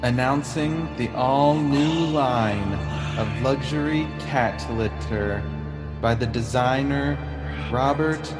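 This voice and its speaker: A sultry, slow-drawling luxury-ad announcer, as a man plays him in a radio play, stretching every word. The voice rings in an echoey room. Loud music plays underneath. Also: medium pitch, lightly acted. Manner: drawn-out, breathy, one word at a time.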